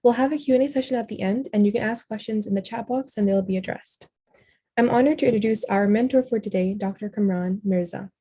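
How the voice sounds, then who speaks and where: A woman's voice: medium pitch, quick pace, warm tone, slightly rough. A woman hosting an online session over a video call, reading a formal introduction of the guest and stumbling on some words. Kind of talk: read-out introduction.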